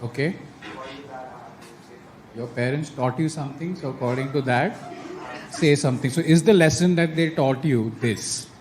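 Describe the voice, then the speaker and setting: A man's voice: a little high, normal speed, long pauses, darkish tone. A male comedian doing teasing crowd work, questioning a spectator. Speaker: stand-up comedian. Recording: noisy room.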